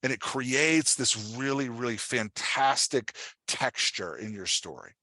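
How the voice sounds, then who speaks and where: Man, writing coach, informal instructional delivery, explanatory, checks in often, medium pitch, dry recording. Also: measured pace, no echo. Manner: animated explaining with examples, often checking in with "right?". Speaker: writing coach giving a workshop.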